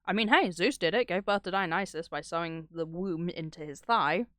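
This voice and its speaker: Woman, slightly low voice, casual streamer chat, irreverent, sweary, rambling storyteller, dry recording. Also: moderate pace, a bit bright, dry recording. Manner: acts out dialogue when retelling stories. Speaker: streamer reacting to horror videos.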